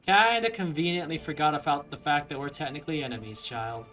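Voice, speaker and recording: A man's voice: on the high side, fairly quick, sharp tone. A young man giving casual let's play commentary over a video game, with game music behind him.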